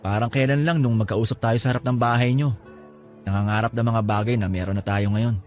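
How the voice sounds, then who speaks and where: A modest young man voiced by an actor in a romantic audio drama, gently teasing an old flame. His voice sits at a middle pitch, with music playing softly behind it. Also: steady tone, fairly quick, natural voice. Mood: wistful, friendly. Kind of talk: Filipino audio drama acting.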